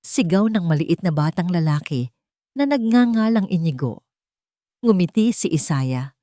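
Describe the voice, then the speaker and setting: Low-pitched woman narrating a story. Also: animated, measured pace, dark tone, dry recording, clean studio sound. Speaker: storyteller, audio drama narrator. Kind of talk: scripted dramatic story narration.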